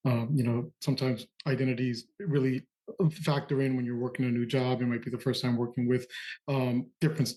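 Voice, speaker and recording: A man's voice: medium pitch, flat delivery, fairly quick, warm tone, rough recording. A man hosting a podcast interview, asking long questions with a hesitant manner and frequent restarts. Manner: hesitant, restarts often while framing questions. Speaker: podcast host.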